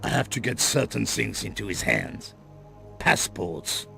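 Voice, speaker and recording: An actor playing a wary, menacing underworld boss in a video game, guarded and dismissive in manner. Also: male voice, fairly low pitch, a little slow, vocal fry, pushed and bright, faint music behind, heavily acted. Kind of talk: video game cut-scene acting.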